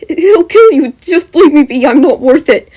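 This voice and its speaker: Woman reading tiny scared boy, high, stammering, pleading. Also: animated, normal speed, mellow. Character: small terrified boy, stammering.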